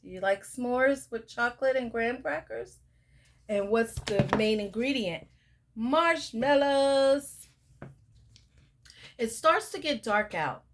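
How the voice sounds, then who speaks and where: A young woman reading a picture book aloud to children, sing-song and pausing to ask the listener questions. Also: fairly high pitch. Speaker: storyteller.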